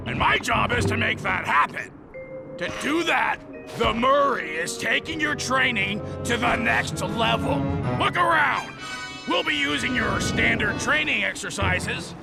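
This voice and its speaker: A man's voice: very high-pitched, gravelly, full character voice. A man voicing a big, goofy, boastful strongman coach in a cartoon game, pompously talking himself up. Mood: commanding. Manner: pompous, speaks of himself in third person. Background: music.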